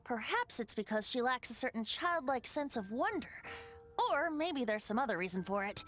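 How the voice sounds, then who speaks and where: An actor voicing a playful, carefree wandering bard in a video game, teasing and lilting. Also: male voice, very high-pitched, animated, normal speed, pushed and bright, exaggerated voice. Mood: coy.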